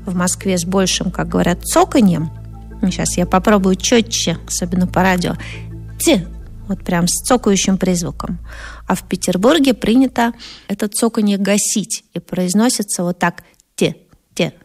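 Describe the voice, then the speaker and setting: A woman from Moscow with a Moscow Russian accent, giving a conversational radio lecture as a linguist, lively and acting out the sounds she explains. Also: lowish voice, moderate pace, husky, faint music behind. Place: radio studio.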